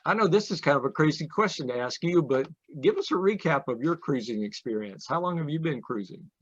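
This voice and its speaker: A man's voice: a little high, lively intonation, a little fast, slightly rough, muffled recording. A man hosting a casual interview over a video call, speaking in a friendly, conversational way. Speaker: host.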